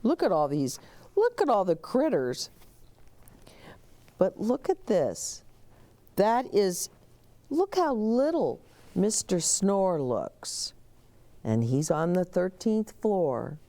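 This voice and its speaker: A woman's voice: mid-range voice, big pitch swings, slow, long pauses, dark tone. A woman hosting a children's story time, reading aloud expressively with chatty asides, explanations and questions for young listeners.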